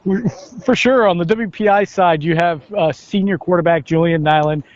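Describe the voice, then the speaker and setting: A muffled, lo-fi recording of a man with a somewhat high-pitched voice. He talks conversationally as a sports announcer being interviewed, pausing and repeating words as he goes. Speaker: radio play-by-play announcer, interview guest. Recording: dull, lo-fi, band-limited sound.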